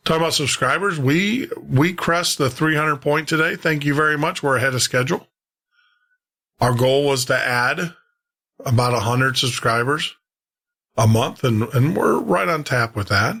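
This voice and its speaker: A man's voice: fairly high pitch, lively intonation, moderate pace, vocal fry, bright, forceful tone. A man hosting a radio show, talking casually and conversationally to his listeners in a rambling way, repeating himself for emphasis. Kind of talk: casual sign-off talk to listeners.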